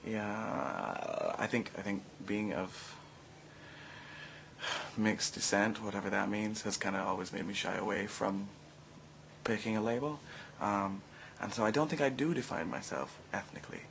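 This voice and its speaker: Gravelly-voiced man from Barbados. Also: lowish voice, expressive, a bit bright, a little hiss or hum. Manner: searching, measured reflection. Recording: faint background ambience.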